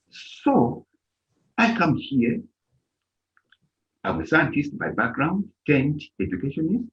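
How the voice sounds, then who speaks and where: A middle-aged man from Zambia who leads an organization, giving an impassioned speech at a live-streamed event and stressing his key points hard. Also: mid-range voice. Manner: emphatic, rising to near-shouts on key points. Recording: dull lo-fi stream audio.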